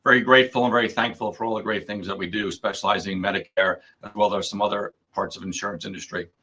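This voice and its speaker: A hoarse man, an insurance agent, explaining earnestly as a guest on a radio interview. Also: lowish voice, fairly even intonation, fast talker, pushed and bright, dull audio.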